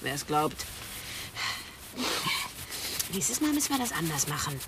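An actress in a radio drama playing a foul-mouthed, bossy but protective street prostitute with a tough, slangy manner. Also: female voice, low-pitched, very animated, takes it slowly, noisy background, heavily acted. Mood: bossy, impatient.

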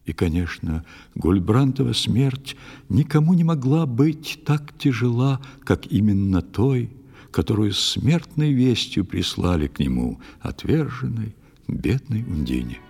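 A man narrating a literary fairy tale in verse, reciting it in a solemn, measured and expressive way. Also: medium pitch, vocal fry, breathy edge. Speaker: storyteller narrator.

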